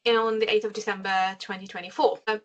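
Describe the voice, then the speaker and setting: A woman from Syria, a guest speaker giving a measured briefing over a video call, with frequent pauses. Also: medium pitch, flat delivery, highs cut off. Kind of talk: webinar briefing.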